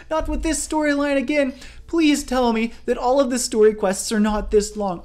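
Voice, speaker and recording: A woman game streamer giving a ranting commentary, emphatic and repeating phrases for emphasis. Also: on the high side.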